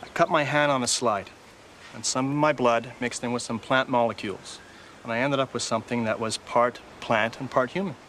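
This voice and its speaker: A man's voice: medium pitch, steady tone, normal speed, fairly bright tone, slight background noise, natural voice. An actor playing an earnest middle-aged scientist father in a children's horror drama. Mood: calm, explaining. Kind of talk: dramatic screen acting. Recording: music under the dialogue.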